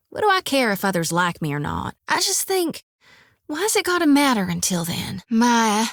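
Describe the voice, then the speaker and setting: A woman's voice: on the high side, fairly bright tone, dry recording, studio quality. Flustered young farm-girl priestess. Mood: resolute, then affectionate. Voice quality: folksy, earnest, flustered. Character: earnest young farm-raised woman priest, devout, stubborn, flustered in love. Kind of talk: video game voice acting. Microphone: dry studio mic.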